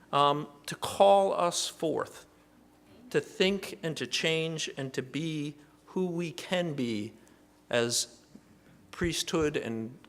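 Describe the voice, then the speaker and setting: A man introducing the keynote speaker at a church event, speaking earnestly and a little haltingly. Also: on the high side, a bit bright. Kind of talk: introduction speech.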